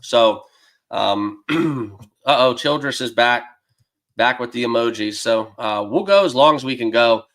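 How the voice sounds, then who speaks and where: A man hosting a sports betting livestream, slightly hoarse as he loses his voice, talking loosely with many fillers and greeting viewers by name. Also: medium pitch, lively intonation, measured pace, a bit bright. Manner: loose and rambling, lots of fillers. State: losing his voice, a bit hoarse. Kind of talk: casual livestream chat. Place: dedicated home studio room.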